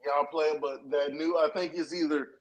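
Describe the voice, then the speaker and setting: Higher-pitched man chatting about sports. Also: steady tone, moderate pace, muffled recording, crowd noise. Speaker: sports podcast panelist. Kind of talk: casual sports chat.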